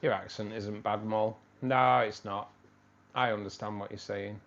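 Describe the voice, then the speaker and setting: A man's voice: lowish voice, fairly even intonation, normal speed, a bit bright, dull audio. A man hosting a live stream from a room with fish tanks, chatting casually and rambling, often repeating himself.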